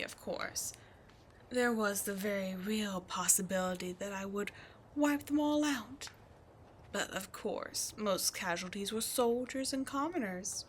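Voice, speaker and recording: A woman's voice: medium pitch, lightly acted. A woman voicing a scheming demon queen in an ASMR roleplay, sly and mocking, often slipping into whispers, with faint noise behind. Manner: sly, taunting, drifting into whispers. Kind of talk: ASMR roleplay audio drama.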